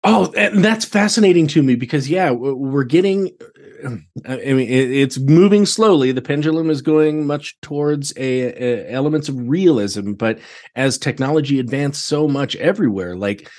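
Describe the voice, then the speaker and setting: A man chatting casually as a podcast guest, expressive in his storytelling, often restarting his sentences. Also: a little high, normal speed. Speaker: podcast guest, theatre director. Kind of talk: casual chat.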